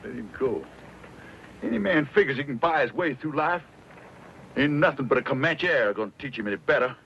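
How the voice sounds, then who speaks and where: An actor playing a gruff, folksy old American frontier scout in a radio western, with a blunt drawl. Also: male voice, medium pitch, lively intonation, fairly quick, fairly bright tone, slight background noise. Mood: scornful, blunt. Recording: dull lo-fi radio drama, music behind.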